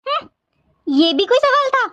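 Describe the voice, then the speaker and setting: Woman playing high-voiced, naively confident wife Priya. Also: very animated, measured pace, background noise, full character voice. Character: thirty-year-old bank-working wife Priya, naively confident. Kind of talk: cartoon comedy skit dialogue.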